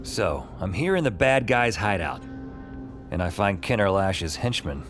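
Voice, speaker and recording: A wisecracking fantasy hero tells his own story over loud music. His voice sits fairly low but leaps around, full of casual sarcasm and punchy asides, as in an audio drama. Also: big pitch swings, measured pace. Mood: wry, cocky. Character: wisecracking, cocky, battered male fantasy hero narrating his exploits. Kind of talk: first-person audio drama narration. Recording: loud music and effects behind.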